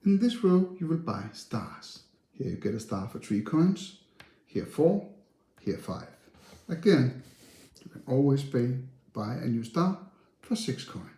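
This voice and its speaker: A man's voice: mid-range voice, very animated, a little slow, warm tone. A man narrating board game instructions in a simple, step-by-step way. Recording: roomy, echoey sound.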